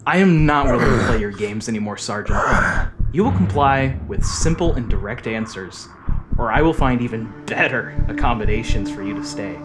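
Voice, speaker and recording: A man's voice: mid-range voice, lively intonation, normal speed, very noisy, lightly acted. A man playing a stern, menacing officer running an interrogation in a military sci-fi audio drama, clipped and commanding. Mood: cold menace. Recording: music behind the voice.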